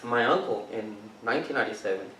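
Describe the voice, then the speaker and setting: A man's voice: medium pitch, fast, sharp tone, slight background noise. A man from northeast Brazil with a Brazilian Portuguese accent, talking casually as a jiu-jitsu instructor, rambling with many fillers and restarts. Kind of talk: casual chat. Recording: roomy, distant mic, faint background.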